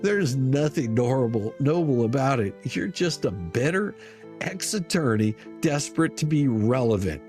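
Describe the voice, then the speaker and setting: A man's voice: medium pitch, expressive, a little slow, darkish tone. A man giving a dramatic, scathing reading of an open letter in a mocking, theatrical, profanity-laced way. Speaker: online commentator. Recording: music under the voice. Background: music.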